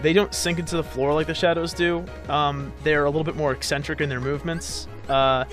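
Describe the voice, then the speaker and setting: A man's voice: a little high, steady tone, fairly quick, a bit bright. A man hosting a let's play with casual, jokey, self-deprecating gameplay commentary. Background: game music.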